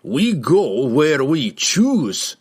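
A man voicing a gruff, defiant, quick-tempered dwarf warrior who growls and barks in rustic speech for a fantasy audiobook. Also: fairly high pitch, very animated, fairly bright tone, voice pushed up, exaggerated voice. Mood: scornful.

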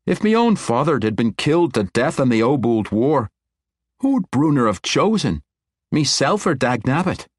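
An earnest young dwarf king, voiced by a male narrator, pitched high in a lively brogue. He speaks with steady loyalty, explaining himself plainly, in a dry storybook reading. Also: normal speed, no echo.

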